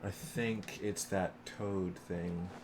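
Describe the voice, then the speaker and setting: A man's voice: deep voice, steady tone, slow pace, music in the background. A man doing casual live commentary as a game streamer, explaining things in an easygoing way.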